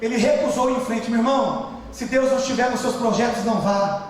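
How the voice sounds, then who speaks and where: A man with a Brazilian Portuguese accent preaching a sermon as pastor, often shouting for emphasis. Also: very high-pitched, fast, a little hiss or hum. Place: large church hall. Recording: echoey church hall, distant mic.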